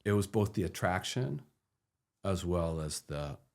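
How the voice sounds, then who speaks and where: A man's voice: deep voice, lively intonation, unhurried, creaky, a little mellow. A middle-aged man from Peru co-hosting a podcast, talking earnestly and asking probing questions, often repeating a word as he starts. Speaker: podcast co-host. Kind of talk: conversational podcast discussion.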